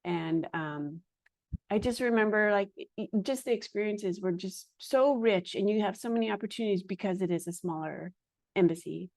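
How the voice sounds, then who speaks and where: A woman's voice: medium pitch, normal speed, creaky, darkish tone, no room sound. A woman podcast host who is a native Hungarian speaker, talking in a casual conversation and rambling with frequent hesitations.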